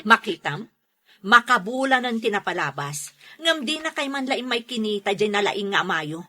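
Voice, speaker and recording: A woman playing an aggrieved, hot-tempered mother in a radio drama, with a husky voice and emphatic delivery. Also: mid-range voice, lively intonation, moderate pace, bright, forceful tone. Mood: bitter. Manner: scolding, emphatic. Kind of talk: radio drama acting in Ilocano.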